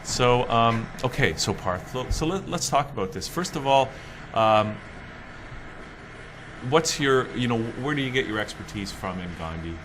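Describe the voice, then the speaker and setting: A man's voice: mid-range voice, lively intonation, a little slow, background noise. A man hosting a casual talk show, hesitant with many fillers.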